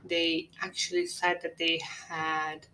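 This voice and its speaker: Slow, low-voiced woman with Hungarian accent. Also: completely flat delivery, sharp tone, a little hiss or hum, rough recording. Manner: earnest, measured, salesy. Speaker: marketing agency owner presenting a webinar. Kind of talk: scripted webinar presentation.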